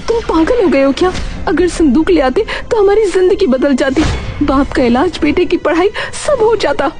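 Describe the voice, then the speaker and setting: Casting: woman as indignant poor vendor's wife in a moral tale, high and fiery scolding, music underneath. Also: lively intonation, normal speed, darkish tone. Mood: furious. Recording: background music throughout.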